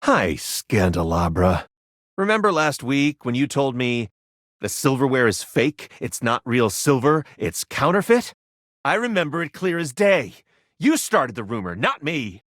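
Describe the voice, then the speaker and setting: A man speaking in a casual, lively conversational way. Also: mid-range voice, a little slow, no room sound, studio quality.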